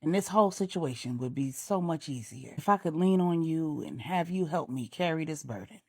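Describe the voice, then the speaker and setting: A middle-aged woman storyteller reading a grown-up bedtime story aloud, voicing a devoted grieving mother with a heartfelt, measured delivery. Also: low-pitched, expressive, a little mellow, slightly rough, no room sound, clean studio sound.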